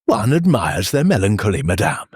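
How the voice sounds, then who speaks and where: A measured Belgian gentleman detective as a male narrator plays him in a mystery audiobook. He speaks formally and courteously, in a husky voice with a very dry sound. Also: lowish voice, sing-song, no echo. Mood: wry. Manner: formal, philosophical.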